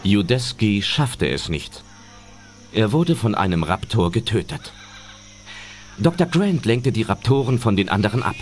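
A man's voice: on the low side, very animated, a little slow. A man narrating an audio drama as a scripted adventure story. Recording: loud music under narration.

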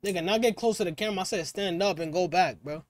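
A man's voice: sits high, fast, no echo. A man, chatting and teasing strangers on a video call through a headset, brash and slangy. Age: 20s. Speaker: Omegle video chat host. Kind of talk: casual video chat banter. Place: small dim room with colored lights.

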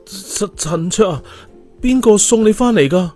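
A man's voice: sits high, lively intonation, unhurried, a little mellow, soft background music, voice pushed up. A man voicing a timid, frightened female nurse who stammers in an audiobook story. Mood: shaken. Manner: stammering, shrieking. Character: terrified young female nurse, timid, caring.

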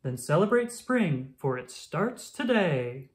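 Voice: mid-range voice, big pitch swings, slow pace. A storybook reader voicing an eager young male groundhog who speaks in a declamatory, earnest way. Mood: proud, proclaiming.

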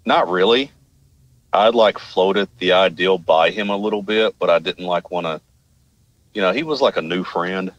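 A man's voice: low-pitched, normal speed, no room sound, dull audio. A man from Arkansas with an American accent, casually telling a personal story in an informal, rambling, wry way. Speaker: podcast guest telling personal experiences.

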